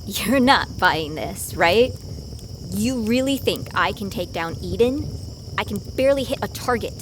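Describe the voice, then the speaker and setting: A woman's voice: medium pitch, animated, a little slow, noisy background, close to an everyday voice. A woman playing a self-doubting young fighter who narrates her own story in an audio drama. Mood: doubtful.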